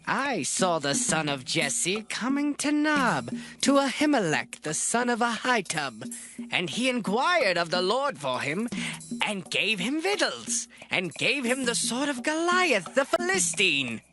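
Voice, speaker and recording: A man playing a king's informing servant in a scripture drama, reporting in a theatrical, drawn-out way. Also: high voice, wildly swooping pitch, over music, exaggerated voice. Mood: tattling. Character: informing servant of a king, a male Edomite.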